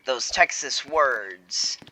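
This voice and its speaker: A man's voice: mid-range voice, animated, drawn-out words, pushed and bright, low-quality recording. A young man casually walking through his own game on a screen recording, rambling with fillers and self-corrections. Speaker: game creator showing his game. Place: home room.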